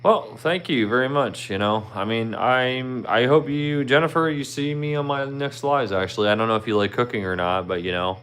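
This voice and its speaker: A man's voice: mid-range voice, moderate pace, few pauses, fairly bright tone, clean tone. A man in his thirties with a Philadelphia accent, chatting casually with viewers on his livestream in a rambling, friendly way full of "you know". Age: 30s. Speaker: livestream host.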